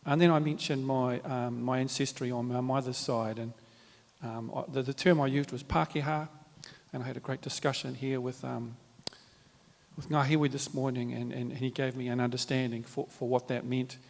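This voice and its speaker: A man with a New Zealand accent, speaking at a live panel in a measured, reflective way with many fillers and restarts. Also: mid-range voice, steady tone, vocal fry. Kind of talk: formal panel talk with Māori greetings. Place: large hall at a live event. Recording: reverberant hall.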